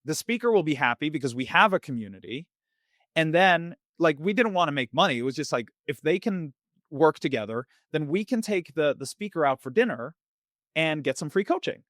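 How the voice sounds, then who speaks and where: A man's voice: a little high, fairly quick, dry recording, clean studio sound. A man raised in Sweden who speaks with an American accent, telling his story as a podcast guest and acting out the voices of people from his past. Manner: animated, acts out both sides of past conversations. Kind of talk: conversational storytelling.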